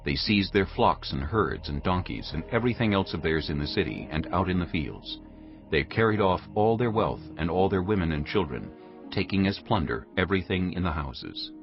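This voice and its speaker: A man's voice: deep voice, lively intonation, moderate pace. A man narrating scripture from a script in a solemn storytelling manner. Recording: muffled audio under music. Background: music.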